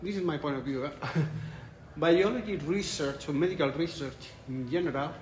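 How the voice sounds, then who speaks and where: Spanish-accented male researcher in his 40s, slightly raised pitch, echoey room. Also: moderate pace, darkish tone. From Spain. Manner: thoughtful, restarts phrases, laughs lightly. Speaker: interviewed researcher. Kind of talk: conference interview. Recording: echoey room with light ambience.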